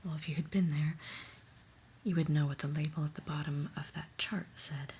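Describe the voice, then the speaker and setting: A woman whispering an ASMR roleplay as an unimpressed new office boss, deliberate, mildly stern and deadpan, in a breathy voice. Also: low voice, a little hiss or hum.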